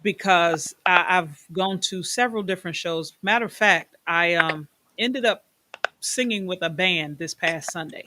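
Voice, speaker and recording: A woman's voice: lowish voice, a little slow, background noise. A middle-aged woman hosting an interview in a chatty, enthusiastic way, stretching words for emphasis. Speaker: host. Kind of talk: casual interview chat.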